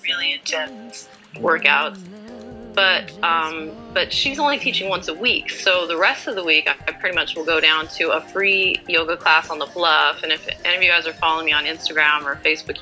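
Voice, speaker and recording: A woman's voice: on the low side, sharp tone, poor audio. A woman in her forties answering as an interview guest in a casual, chatty, informal and self-deprecating way. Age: 40s.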